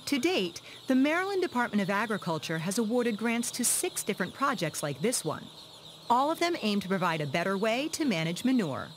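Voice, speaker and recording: A woman's voice: mid-range voice, big pitch swings, a little fast. A woman reporter reading scripted narration for a news report. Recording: clear background farm noise.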